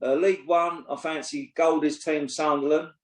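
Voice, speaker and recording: A man's voice: fairly high pitch, little pitch movement, moderate pace. Blunt, opinionated man in his sixties. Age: 60s. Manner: blunt, opinionated, swears freely. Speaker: podcast co-host and pundit. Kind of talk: casual chat. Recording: roomy echo.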